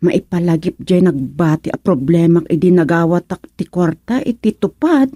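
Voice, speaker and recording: An actress playing an expressive, chatty village wife in a radio comedy drama. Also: female voice, lowish voice, moderate pace, no room sound, clean studio sound, close to an everyday voice. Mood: fretful.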